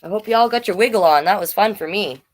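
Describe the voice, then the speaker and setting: A woman's voice: mid-range voice, quick pace. A woman hosting a children's livestream storytime as a drag king, chatting directly to viewers between pages.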